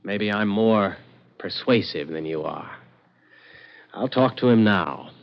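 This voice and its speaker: An actor playing a scheming, greedy crook who also narrates, persuasive and glib, in 1940s radio suspense style. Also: male voice, fairly low pitch, animated, slow pace, gravelly, full character voice. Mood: sly. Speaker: protagonist and narrator. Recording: dull old radio recording with music.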